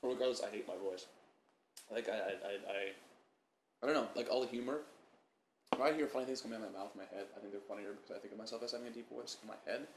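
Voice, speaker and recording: A man's voice: on the low side, rapid-fire. A young man co-hosting a casual podcast, rambling and profane, with lots of stammered restarts. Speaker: podcast co-host. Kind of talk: casual banter. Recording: echoey room with faint noise.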